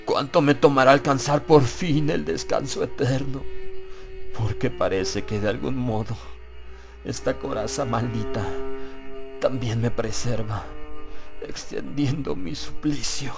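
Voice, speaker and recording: A man narrating a horror story in a slow, deliberate, grave and dramatic way, as for an audiobook. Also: medium pitch, gravelly, music in the background.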